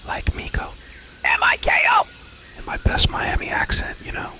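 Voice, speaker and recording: A man's voice: brisk, sharp tone, natural voice. A man voicing Chad, a cocky young male gym bro with slangy, boastful talk and a whispery voice.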